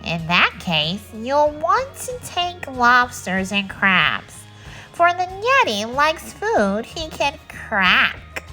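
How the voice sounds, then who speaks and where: A woman's voice: fairly high pitch, very animated, slow, pushed and bright, exaggerated voice. Lady doing big grumpy girl monster from a kids book, indignant, punchy, swoopy voice w music. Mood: gruff, advising. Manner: indignant, emphatic. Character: huge, grumpy, indignant female mountain monsters.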